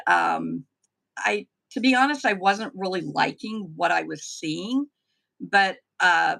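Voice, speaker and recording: A middle-aged woman from the southern United States with a softened Tennessee accent, chatting easily as a guest on a live stream interview. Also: fairly low pitch, gravelly. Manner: chatty, with frequent ums and restarts. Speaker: political candidate, guest. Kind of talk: casual interview answers.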